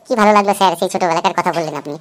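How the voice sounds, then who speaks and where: A woman's voice: lowish voice, very fast, very noisy, natural voice. A woman voicing a cheeky young schoolboy in a comedy cartoon, glib and pleading with excuses. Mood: confidently silly. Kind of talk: Bengali comedy cartoon dub.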